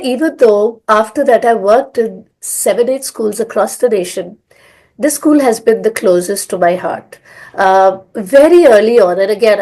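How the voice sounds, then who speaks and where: A woman's voice: mid-range voice, darkish tone. A middle-aged woman from India, telling her life story in a rambling, filler-filled way. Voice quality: hoarse. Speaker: guest, language school founder. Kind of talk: conversational storytelling.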